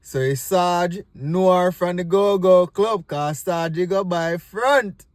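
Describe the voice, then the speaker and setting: A man's voice: high voice, slow, dry recording. Jamaican man with a close, dry sound. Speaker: host introducing and commenting on stage play clips. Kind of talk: casual comic commentary in Patois.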